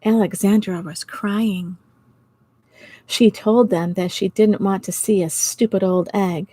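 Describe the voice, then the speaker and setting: A middle-aged or older woman reading a storybook to children, expressive and giggly, pausing to chat with her listeners. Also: mid-range voice. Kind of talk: storytime read-aloud for children. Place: small indoor home office.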